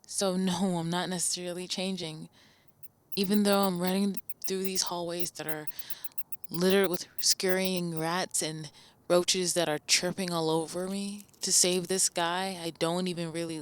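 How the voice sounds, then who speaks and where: A woman's voice: lowish voice, steady tone, a little slow, smooth, natural voice. A woman playing a cocky, sarcastic supervillain with a grudging code of honour in a radio drama. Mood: wry, matter-of-fact. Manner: dry, sarcastic, self-assured. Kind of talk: first-person radio drama monologue and dialogue.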